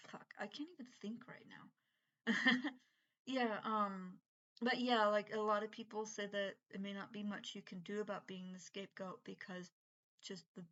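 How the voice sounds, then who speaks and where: A middle-aged woman with an unusual voice from breathing troubles, rambling in a halting, casual monologue full of fillers and restarts. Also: mid-range voice, fairly bright tone, muffled recording. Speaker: vlogger.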